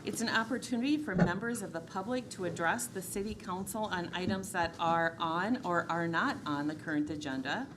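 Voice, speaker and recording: A woman's voice: fairly low pitch, fairly quick, hardly any pauses. A woman with a Minnesotan accent chairing a city council meeting, reading agenda items in formal procedure with frequent ums. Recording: noisy council chamber recording.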